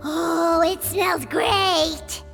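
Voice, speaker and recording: A woman voicing a tiny childlike floating fairy companion in a video game, squeaky, chirpy and boastful. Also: fairly even intonation, very slow, gravelly, a bit bright, exaggerated voice. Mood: proud, delighted. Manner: exclaiming, eager. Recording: music behind the voice.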